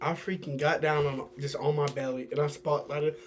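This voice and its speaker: A man's voice: on the high side, steady tone, normal speed, slight background noise. A young man telling casual stories among friends. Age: young adult. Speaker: podcast host. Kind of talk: casual anecdotal storytelling with friends.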